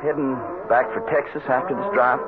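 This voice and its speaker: An actor playing an earnest, respectful young cowhand in a radio western, with a folksy, hesitant manner. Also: male voice, mid-range voice, fairly even intonation, brisk, narrow, tinny audio, natural voice. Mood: earnest, hopeful. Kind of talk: old-time radio western acting. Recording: noisy, band-limited old radio recording.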